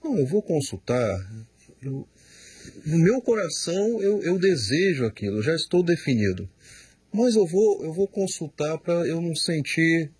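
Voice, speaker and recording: A man, a pastor, answering questions in a studio, slow and emphatic, often repeating words as he explains. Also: on the high side, a little mellow, breathy edge, no room sound. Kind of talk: studio Q&A teaching.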